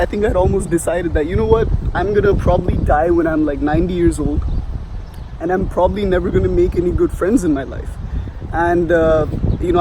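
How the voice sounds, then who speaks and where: A man's voice: high-pitched, background noise. A young man in his twenties from India with a light Indian accent, giving rambling casual advice outdoors, full of fillers and restarts. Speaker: vlogger. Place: outdoors on a sunny street.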